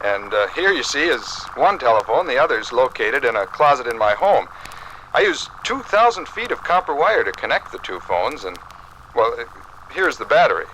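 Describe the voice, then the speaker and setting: Lively, excitable American tinkerer husband. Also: male voice, mid-range voice, moderate pace. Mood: gleeful. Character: eager, excitable amateur telephone tinkerer, a husband. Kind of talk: 1950s radio acting. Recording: noisy vintage radio recording.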